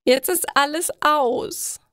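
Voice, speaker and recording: A woman's voice: high-pitched, takes it slowly, fairly bright tone, no echo, clean studio sound, pitched above the performer's own voice. A woman voicing a vulnerable, doubtful pregnant teenage schoolgirl in a learner's story. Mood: tearful, despairing. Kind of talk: acted dialogue in a learner's story.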